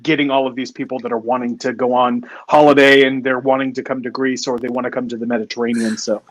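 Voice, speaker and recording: A man's voice: mid-range voice, flat delivery, fast. A man co-hosting a casual chat, speaking in thoughtful, rambling stretches.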